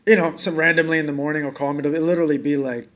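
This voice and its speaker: Higher-voiced male host, rambling. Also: steady tone, very fast, a bit bright. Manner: loose, rambling with many fillers. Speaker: podcast host. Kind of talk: casual interview chat.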